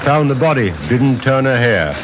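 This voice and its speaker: A man's voice: mid-range voice, lively intonation, normal speed, noisy background, lightly acted. An actor playing an efficient, respectful policeman giving clipped reports in an old radio crime drama. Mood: brisk, respectful. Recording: dull old radio recording, background chatter.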